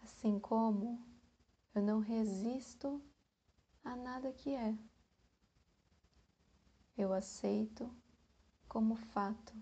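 A Brazilian woman leads a guided meditation in Portuguese-accented speech. Her voice is dark and relaxed, slightly husky, with a very even pitch. She moves extremely slowly and gently, letting long pauses settle between short phrases.

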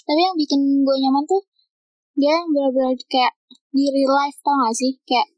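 A woman's voice: sits high, moderate pace, a little mellow, no room sound, low-quality recording. A teenage girl from Jakarta chatting casually, her slang carrying a slight Surabaya lilt, trailing off into fillers. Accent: slight Surabaya lilt over Jakarta slang. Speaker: guest. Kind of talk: casual chat on a call.

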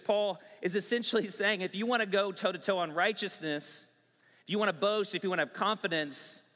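A pastor preaching a sermon in a conversational way, mixing scripture with pop-culture asides. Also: male voice, high-pitched, sharp tone, big-room sound.

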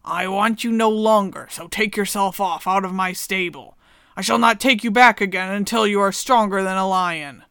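A woman's voice: mid-range voice, normal speed, fairly bright tone, smooth, dry recording, clean studio sound, close to an everyday voice. A woman voicing a stern old farmer who speaks bluntly and firmly.

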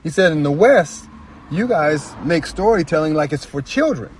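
A man's voice: on the high side, lively intonation, moderate pace, slight background noise. A man speaking as a writer and storyteller in earnest, reflective personal talk, repeating phrases for emphasis.

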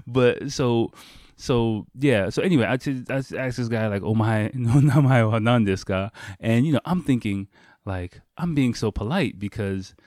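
A man's voice: on the low side, a little mellow, no echo, studio quality. A man hosting a podcast solo, talking in an informal, conversational way with casual swearing. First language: English. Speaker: podcast host.

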